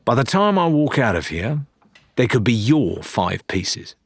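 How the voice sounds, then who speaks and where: A man's voice: medium pitch, big pitch swings, measured pace, slightly rough, full character voice. A man voicing a brusque, impatient young female pirate in an audiobook, challenging and sarcastic. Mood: firm. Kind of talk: dramatised audiobook character dialogue.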